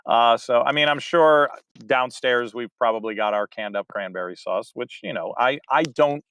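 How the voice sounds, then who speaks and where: Man, guest on a comedy talk stream, earnest with lots of restarts, mid pitch. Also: normal speed, fairly bright tone, smooth, dry recording. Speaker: guest director. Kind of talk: casual debate chat.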